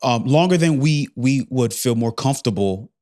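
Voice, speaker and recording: A man in his thirties co-hosting a podcast, talking in a playful, rambling way and pausing to search for words. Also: mid-range voice, lively intonation, dark tone. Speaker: podcast co-host. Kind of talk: casual chat.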